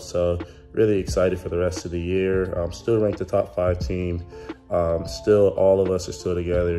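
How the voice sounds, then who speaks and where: A young man vlogging casually to his phone in a hotel room, with a relaxed slangy delivery full of ums. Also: sits low. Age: young adult. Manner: laid-back slangy talk with many ums. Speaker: college basketball player vlogger. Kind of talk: casual vlog to camera. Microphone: phone held at arm's length.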